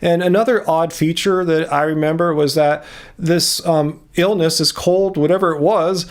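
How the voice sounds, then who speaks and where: A man's voice: a little high, fairly even intonation, normal speed. An American man vlogging to camera, explaining things in an earnest, casual way with frequent restarts. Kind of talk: casual vlog talk to camera.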